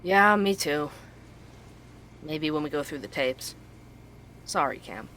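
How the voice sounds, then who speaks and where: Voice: mid-range voice, expressive, takes it slowly, bright, forceful tone, a little hiss or hum, heavily acted. An actor playing a tired, earnest investigative journalist who hosts a podcast, in naturalistic audio drama. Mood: gentle, concerned.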